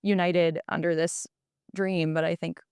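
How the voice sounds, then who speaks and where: A woman's voice: on the low side, level tone, unhurried, darkish tone, clean tone, no room sound, clean studio sound. A woman in her twenties from Kentucky, a guest telling a story in casual, chatty speech with lots of "like". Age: 20s. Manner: chatty, frequent "like" fillers. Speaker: podcast guest. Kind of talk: casual chat.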